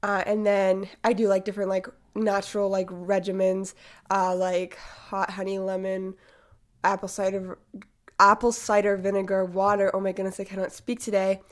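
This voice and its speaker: A woman's voice: mid-range voice, level tone, normal speed. Congested 18 yr old girl hosting her podcast, rambly, lots of likes and ums. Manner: chatty, many fillers. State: congested from a cold. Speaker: podcast host. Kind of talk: casual podcast monologue.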